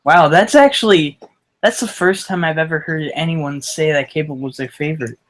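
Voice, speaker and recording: A man in his late teens chatting casually, eager and quick to giggle. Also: a little high. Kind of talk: casual chat.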